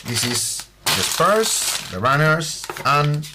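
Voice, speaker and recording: A man from Spain, speaking English with a Spanish accent, hosts a model-building tutorial in a halting way with many fillers. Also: on the high side, very animated, background noise. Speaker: scale model hobby host. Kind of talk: unboxing tutorial.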